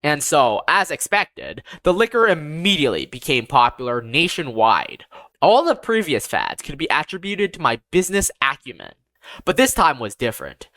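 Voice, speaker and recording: A narrator of ambiguous-sounding gender reading a first-person fantasy story in a measured, deliberate, expressive way. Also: mid-range voice, no echo. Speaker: audiobook narrator.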